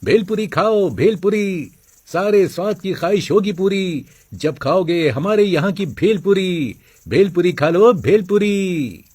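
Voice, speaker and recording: A man playing a humble, gentle poor young street-food seller in a story. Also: high voice, expressive, measured pace, slightly rough, exaggerated voice. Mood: calling out.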